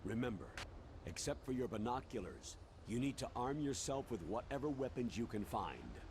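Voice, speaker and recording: An actor playing an authoritative older military commander in a video game, giving orders in a businesslike way. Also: male voice, medium pitch, big pitch swings, moderate pace, noisy background.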